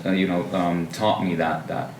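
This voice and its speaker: Deep-voiced young man, roomy distant sound. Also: normal speed. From Finland. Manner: relaxed, thoughtful, many fillers and restarts. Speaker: guest. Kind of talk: casual podcast chat. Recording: roomy, distant mic, faint noise.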